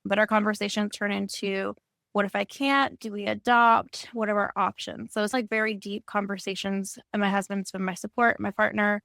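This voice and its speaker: Female, 30s, mid-pitched with noticeable fry, dry recording, conversational panel testimony. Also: flat delivery, moderate pace, a bit bright, no room sound. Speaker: panelist, cancer patient and mother. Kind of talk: personal testimony in a panel discussion.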